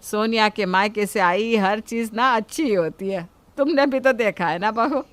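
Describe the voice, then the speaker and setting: A woman voicing an older mother-in-law, gentle but admonishing, in a family moral story. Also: medium pitch, normal speed, natural voice. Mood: placating. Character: mild, reproving older Indian mother-in-law.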